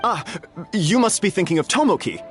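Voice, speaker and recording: A flustered, deferential festival vendor from an anime game. The voice is fairly high and very animated, stammering and eager to please, with music behind it. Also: male voice, a little fast. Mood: nervous. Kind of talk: anime video game voice acting.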